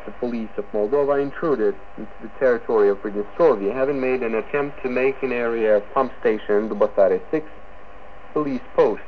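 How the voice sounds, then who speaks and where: A man with an Eastern European accent reads a scripted radio news bulletin in formal, halting phrases over a shortwave broadcast. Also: medium pitch, muffled recording, slight background noise. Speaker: radio newsreader.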